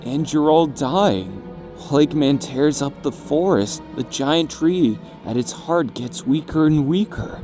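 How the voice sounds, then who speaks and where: A fierce, earnest echidna brawler, voiced by a man in a high, forceful voice. He stretches his words slowly and emphatically, with music under him in a cartoon audio drama. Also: lively intonation. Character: hot-headed, brawny male echidna hero, fierce and earnest. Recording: music over the voice.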